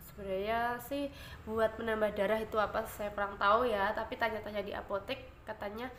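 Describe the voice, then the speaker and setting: A woman's voice: medium pitch, steady tone, fairly quick, fairly bright tone. Lady vlogging at home, stuffy blocked-nose voice, rambly chatter, echoey room with a faint hiss behind. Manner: rambling, chatty, many fillers. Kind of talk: casual chat to viewers.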